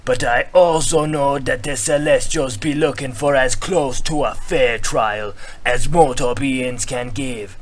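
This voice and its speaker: A man voicing a proud, battle-hardened troll leader who speaks gravely and emphatically in a troll dialect. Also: mid-range voice, pitched above the performer's own voice, lightly acted. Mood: grave, forceful. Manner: slow, emphatic troll dialect with dropped verbs.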